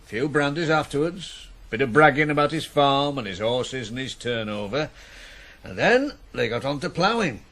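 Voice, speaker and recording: Farm foreman, medium pitch, dry humour, fatherly, cautioning, teasing, radio drama. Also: animated, normal speed, fairly bright tone. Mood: wry, cautioning. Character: wry, fatherly farm foreman mentoring a boy.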